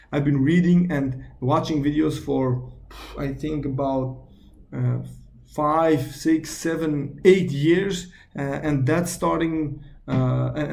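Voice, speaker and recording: A young Belgian man, a native Dutch and French speaker, talks in English with a Belgian Dutch accent and a fairly high, mellow voice. He introduces his channel earnestly, pausing often to restart his sentences. A faint hum of room noise runs underneath. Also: gravelly. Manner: earnest, hesitant, many fillers and restarts. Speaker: YouTube sales channel host.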